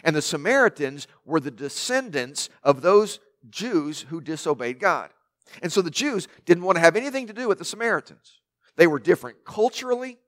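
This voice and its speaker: A man preaching from the pulpit, his loud voice sitting a little high for a man. He presses his points with rhetorical questions and stacked lists, rising and falling as he builds. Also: sing-song, normal speed. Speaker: pastor. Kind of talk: sermon.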